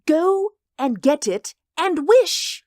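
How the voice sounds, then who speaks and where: A man voicing a frantic, grieving old woman in a storybook reading, shrill, wild and pleading. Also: expressive, takes it slowly, dark tone, no room sound, studio quality, heavily acted. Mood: feverish insistence.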